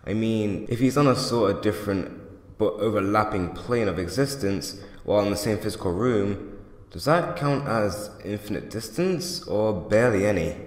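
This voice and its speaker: A man's voice: lowish voice, animated, normal speed. A man talking casually as narrator and questioner in a scripted comic dub. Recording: echoey, reverberant, music behind.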